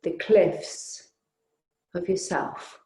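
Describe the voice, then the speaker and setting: Very slow-spoken woman guiding relaxation. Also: fairly low pitch, echoey room. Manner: soothing, unhurried, gently inviting with long pauses. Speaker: yoga nidra teacher. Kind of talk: guided relaxation meditation.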